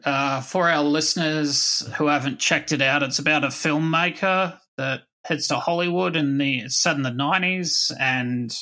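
A man hosting a podcast in casual review chat, talking in a halting, hesitant way. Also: on the high side, bright, forceful tone. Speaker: podcast host.

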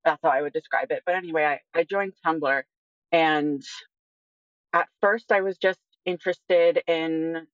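A woman's voice: low voice, measured pace, dry recording. Young woman, slightly dull lo-fi audio. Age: early 20s. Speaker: interview guest. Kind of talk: reflective personal account in conversation.

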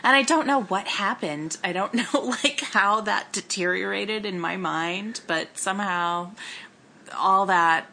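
A woman in casual conversation, animated and self-deprecating, laughing often as she talks. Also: mid-range voice, unhurried, gravelly, a little hiss or hum.

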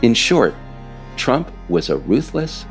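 An American man reading scripted political narration in a measured, emphatic, explanatory way. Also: medium pitch, sing-song, faint music behind. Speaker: author narrating own political audiobook.

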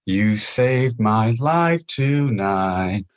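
A man's voice: lowish voice, animated, extremely slow. An actor playing a young male Broadway chorus dancer who is stranded, earnest and pleading. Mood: grateful. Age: young adult. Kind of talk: audio play acting.

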